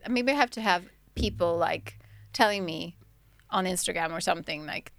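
A woman's voice: on the low side, moderate pace, vocal fry, a bit bright, dry recording. A woman co-hosting a podcast, chatting in a playful, giggly way. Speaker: podcast co-host. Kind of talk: casual podcast chat.

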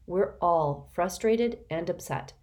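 Level-headed boy, calm and reasoning, woman narrator's own lowish voice. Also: takes it slowly. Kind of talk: audiobook character voice.

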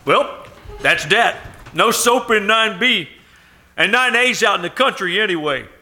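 A man's voice: high-pitched, animated, unhurried, sharp tone, exaggerated voice. A man playing a brusque, sceptical apartment superintendent with colloquial speech in a live old-time radio play. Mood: dismissive. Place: live performance at a winery.

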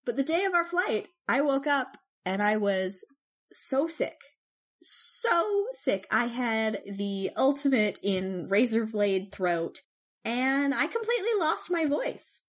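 A woman co-hosting a podcast, telling stories in an animated, chatty way. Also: on the high side, slow. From Canada. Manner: chatty, animated storytelling with laughs. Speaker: podcast co-host. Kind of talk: casual chat.

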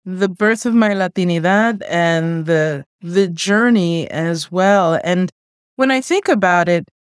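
A woman whose first language is Spanish, a guest on an interview podcast, answering in a measured, thoughtful way. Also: fairly low pitch, clear, resonant tone, no echo, studio quality. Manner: measured, thoughtful, speaks in long considered phrases. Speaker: guest, diversity executive.

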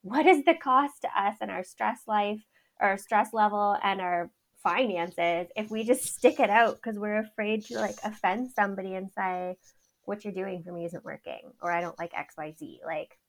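A woman with a high voice, a business owner talking as a podcast guest in an outspoken, animated way, laughing often. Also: moderate pace. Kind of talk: casual interview chat.

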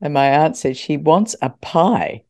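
Mellow-voiced older woman telling stories. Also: deep voice, expressive, a little slow. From Scotland. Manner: chatty, laughs often, self-deprecating. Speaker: guest, former radio talk host. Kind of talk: interview, anecdotal storytelling.

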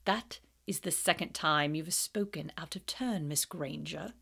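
A woman voicing a cold, sarcastic male teacher who speaks slowly, quietly and with silky menace. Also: lowish voice, fairly even intonation, close to an everyday voice. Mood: cold, menacing. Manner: slow, quiet, icy and sarcastic.

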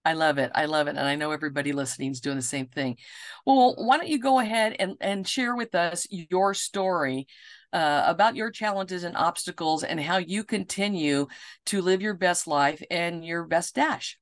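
A woman's voice: fairly low pitch, moderate pace, no room sound, clean studio sound. A woman hosting a podcast interview, effusive and enthusiastic when introducing her guest. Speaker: podcast host.

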